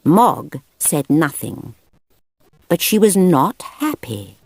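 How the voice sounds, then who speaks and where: A woman narrating a children's picture book aloud in an expressive storytelling manner. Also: low-pitched, slow, slightly rough, no echo, studio quality.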